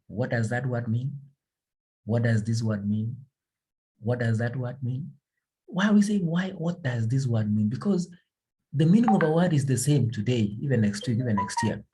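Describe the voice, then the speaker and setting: Husky low-voiced man teaching grammar. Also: warm tone, poor audio. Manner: repeats key phrases, drills examples to a class. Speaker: English teacher. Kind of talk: classroom grammar lesson.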